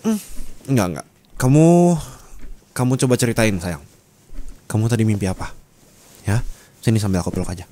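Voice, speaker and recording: A man playing a gentle, caring husband in an ASMR roleplay, speaking in a tender, coaxing, reassuring way. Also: fairly low pitch, moderate pace, pauses often, natural voice. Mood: soothing, apologetic. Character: gentle caring young husband comforting his distressed wife.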